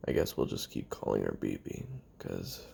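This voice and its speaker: A man playing a weary, lonely young retired assassin who makes a halting, brooding confession on tape in an audio roleplay, with a gravelly, husky voice. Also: a bass voice, fairly even intonation, mellow, a little hiss or hum, exaggerated voice. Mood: wistful, resigned. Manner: halting, brooding, trailing off with sighs and pauses.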